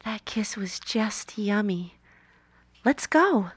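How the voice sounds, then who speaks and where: A woman's voice: mid-range voice, lively intonation, slow, slightly rough. An actress playing a statue come to life as a sweet, naive, romantic young woman in an audio drama. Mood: playful, innocent.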